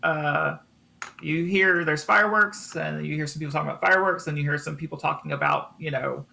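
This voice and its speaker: A man narrating a tabletop game as game master, improvising with frequent ums and pauses. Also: fairly high pitch, creaky, sharp tone.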